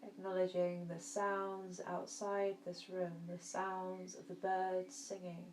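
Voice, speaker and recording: A woman teaching yoga nidra, guiding a relaxation meditation in a soft, soothing, gentle manner with long pauses. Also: fairly low pitch, monotone. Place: indoor room. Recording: roomy echo, faint background noise.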